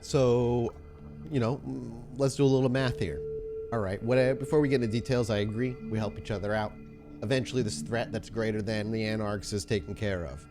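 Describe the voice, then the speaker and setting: A man voicing a wary, street-smart vampire woman in a tabletop game, speaking in a casual, blunt, halting way. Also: fairly low pitch, steady tone, over music, close to an everyday voice. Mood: suspicious.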